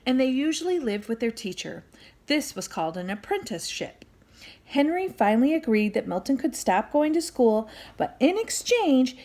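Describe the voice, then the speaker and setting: Animated, high-voiced woman reading to children. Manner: animated, addresses young listeners with questions and asides. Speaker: storyteller reading a children's book aloud. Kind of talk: expressive read-aloud for children.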